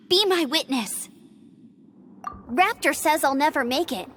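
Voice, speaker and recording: A woman's voice: sits high, expressive, slow, fairly bright tone, slight background noise, heavily acted. A woman voicing an earnest, plucky young female knight scout in an anime-style video game. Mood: determined. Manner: earnest, spirited, emphatic.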